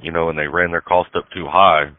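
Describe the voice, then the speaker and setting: Male host, very deep voice, folksy and chummy, casual interview talk over a narrow, dry phone line. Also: fairly even intonation, fairly quick. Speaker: podcast host interviewing a guest. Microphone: phone call. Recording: dull, band-limited phone call recording.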